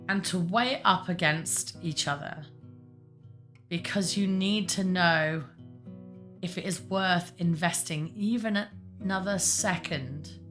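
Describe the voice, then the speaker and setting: Croaky woman giving casual advice. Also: on the low side, a bit bright. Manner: rambling, chatty, self-mocking asides. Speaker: larp advice host.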